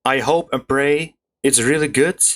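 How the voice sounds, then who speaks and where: A man's voice: mid-range voice, measured pace, a bit bright. A young man giving casual let's play commentary, rambling informally and correcting himself as he goes. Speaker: gaming video host. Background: game music.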